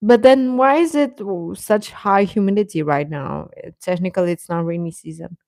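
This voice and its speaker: A woman hosting a casual livestream chat, answering viewers informally and haltingly, often repeating short phrases. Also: medium pitch, gravelly, darkish tone, no room sound, studio quality. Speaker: livestream host chatting with viewers.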